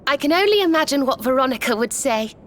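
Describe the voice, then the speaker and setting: A woman playing a gentle, earnest young woman, grieving yet hopeful, in a video game cutscene, speaking softly and with measured care. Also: high voice, expressive, slight background noise. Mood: solemn, tender.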